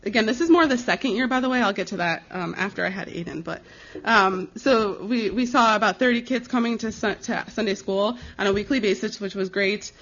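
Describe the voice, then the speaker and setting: A young American woman speaking as a missionary, giving an informal slide presentation in a conversational, earnest and halting way. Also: medium pitch, a bit bright. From United States. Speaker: missionary giving a ministry report. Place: live talk before an audience. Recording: dull, slightly lo-fi recording.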